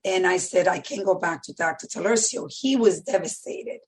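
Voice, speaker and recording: A woman's voice: lowish voice, animated, moderate pace, a little hiss or hum. A middle-aged woman with a Dominican Spanish accent, telling her personal story emphatically and repeating phrases for weight. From Dominican Republic. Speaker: podcast guest, medical center executive.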